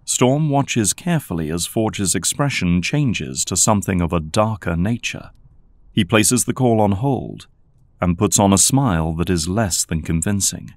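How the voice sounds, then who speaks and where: Casting: male narrator for audio drama, low-pitched voice, scripted storytelling delivery. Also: expressive, unhurried, dry recording.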